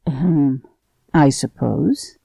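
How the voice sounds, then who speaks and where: A flustered police receptionist in a radio drama. Her voice is very mellow and dark, with husky fry. She answers timidly and haltingly. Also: sits low, no room sound, full character voice. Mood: flustered, uneasy. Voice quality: husky, creaky. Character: hesitant, flustered female police station receptionist.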